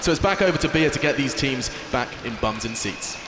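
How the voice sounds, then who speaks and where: A man hosting a live esports analyst desk, brisk and polished in a presenter's manner. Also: medium pitch, expressive, heavy background noise.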